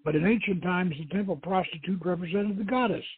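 A man's voice: sits high, brisk, husky. A man voicing a wry, pedantic scholar-adventurer in a dramatic novel reading. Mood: explanatory. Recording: phone-like thin line.

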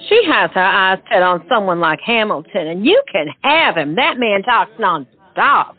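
A woman's voice: mid-range voice, animated, a little slow, husky, faint music behind. A woman playing a bossy, skeptical lady friend with a wry, teasing way of talking in a stage comedy. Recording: tinny, narrow-band sound.

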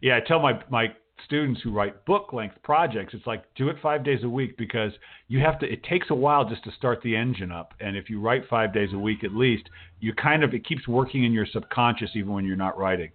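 A man's voice: mid-range voice, fairly quick, few pauses. A man hosting a talk show in a casual, informal, encouraging way, often repeating phrases for emphasis. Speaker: talk show host, writing teacher. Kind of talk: casual interview chat. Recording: thin phone-like radio call audio.

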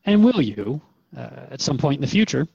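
A man's voice: mid-range voice, big pitch swings, normal speed, gravelly, dark tone, breathy edge, dry recording, highs cut off, heavily acted. A man playing a curious human radio interviewer, informal and slightly bemused, in a conversational drama. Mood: puzzled, curious.

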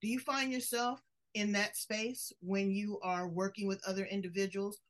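A woman's voice: medium pitch, fairly even intonation, moderate pace. A woman hosting an interview show in a conversational style, introducing and questioning a guest. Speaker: podcast host interviewing a guest.

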